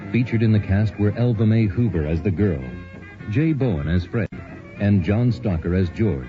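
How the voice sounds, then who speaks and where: A man reading the cast and crew credits of a radio drama in a measured, formal way. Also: on the low side. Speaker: announcer. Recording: dull old radio broadcast with music.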